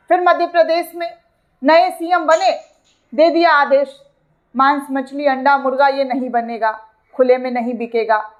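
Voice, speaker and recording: A woman presenting opinionated news commentary in an emphatic manner, repeating phrases and posing rhetorical questions. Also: high-pitched. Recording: dull, lo-fi sound.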